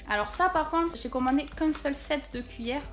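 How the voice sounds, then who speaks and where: A woman's voice: sits high, moderate pace, over music. A woman chatting casually while unboxing a parcel at home, thinking aloud with many fillers. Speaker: vlogger unboxing a package.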